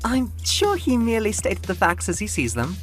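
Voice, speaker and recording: A man voicing an exasperated, sharp-tongued male painter in a theatrical, sarcastic way for an audio drama. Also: high-pitched, wildly swooping pitch, normal speed, soft background music, pitched above the performer's own voice.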